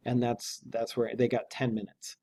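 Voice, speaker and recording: A man with a dark, mellow voice at an average pitch. He sounds like an English teacher, reading fiction aloud and explaining it casually. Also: monotone, moderate pace, slight background noise. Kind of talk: reading a novel aloud with informal analysis.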